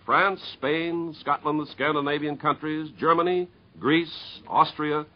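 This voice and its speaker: Casting: male 1950s radio announcer, scripted read, lively inflection, husky edge, dull archival sound. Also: fairly high pitch. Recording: muffled 1950s radio broadcast recording.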